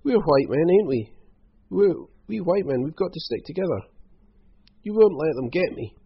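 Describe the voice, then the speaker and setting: A man voicing a cringing, wheedling villain in a storybook reading, with whiny, slangy, croaky speech. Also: on the low side, animated, normal speed, dull audio. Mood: whining, fearful. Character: whining, cringing, wheedling villainous man, rough gang boss.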